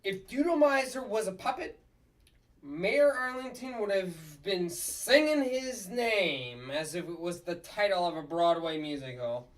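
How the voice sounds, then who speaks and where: Lady doing a gruff excitable puppet dude, kinda far from mic, big swoopy blustery lines. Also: fairly low pitch, measured pace. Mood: gruff, wry. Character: gruff, excitable, boastful male puppet lawyer. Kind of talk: sitcom table read.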